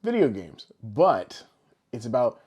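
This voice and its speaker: A man's voice: medium pitch, big pitch swings, takes it slowly, darkish tone, breathy edge. A man co-hosting a podcast with casual, jokey, rambling showman patter. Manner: boisterous, rambling, tongue-in-cheek showman patter. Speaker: podcast co-host.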